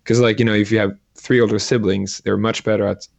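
A man's voice: lowish voice, little pitch movement, brisk, vocal fry, no room sound. A man, an entrepreneur answering interview questions thoughtfully, hesitating with many fillers. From Sweden. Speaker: entrepreneur and angel investor. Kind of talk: interview guest.

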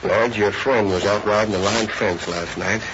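An actor playing a sombre, folksy Western rancher in an old radio drama. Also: male voice, fairly low pitch, fairly even intonation, moderate pace, close to an everyday voice. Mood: grave. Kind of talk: 1930s-40s radio western acting. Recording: noisy dull old radio broadcast recording.

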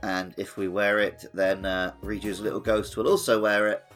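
A man with a fairly low voice co-hosts a casual gaming podcast. His delivery is chatty and joking. Quiet music plays behind him. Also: level tone, moderate pace. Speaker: podcast co-host. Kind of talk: casual video game discussion.

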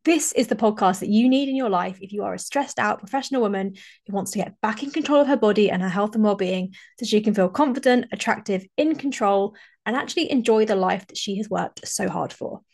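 A woman's voice: medium pitch, a little fast, creaky, a little mellow. A woman, hosting a solo podcast in a chatty, enthusiastic way while a little congested from a cold. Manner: chatty, enthusiastic, repeats and restarts phrases. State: slightly congested, recovering from a cold. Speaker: podcast host and fitness coach.

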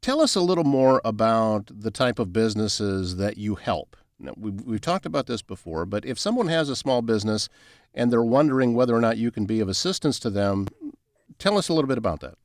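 A man's voice: on the low side, big pitch swings, brisk, no room sound, studio quality. A man hosting a podcast, interviewing guests in a conversational style. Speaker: podcast host.

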